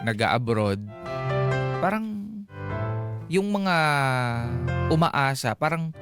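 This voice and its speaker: Higher-voiced Filipino man, radio host. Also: sing-song, extremely slow. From Philippines. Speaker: radio advice show host. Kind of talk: casual radio talk, mixing Tagalog and English. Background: music bed with guitar or instruments.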